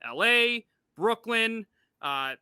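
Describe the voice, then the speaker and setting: A man's voice: very high voice, expressive, slow pace, bright, forceful tone, smooth, no room sound, clean studio sound. A man hosting a sports show, asking questions in a conversational interview style.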